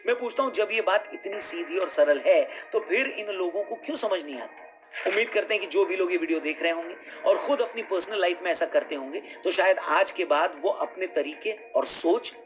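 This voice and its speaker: A man delivers a scripted explainer in a high voice. He speaks emphatically, posing rhetorical questions directly to his viewers, over faint music. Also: brisk. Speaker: video commentary presenter.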